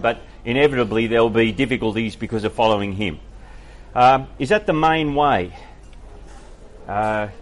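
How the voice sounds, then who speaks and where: A man, a pastor, answering faith questions from the congregation in a thoughtful, hesitant way with many fillers. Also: mid-range voice. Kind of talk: Q&A sermon.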